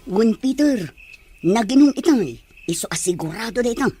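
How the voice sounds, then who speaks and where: A woman's voice: on the high side, expressive, normal speed, dark tone, a little hiss or hum, lightly acted. A woman with a Filipino accent playing a secretive night-time accomplice in a radio drama. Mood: furtive.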